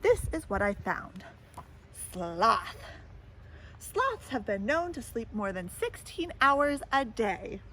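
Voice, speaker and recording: Female storybook reader, higher-pitched and very expressive, chatting to kids between lines; some background noise. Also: slow pace, rough recording. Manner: animated, addresses young listeners with questions and comments. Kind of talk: read-aloud children's picture book with asides to listeners.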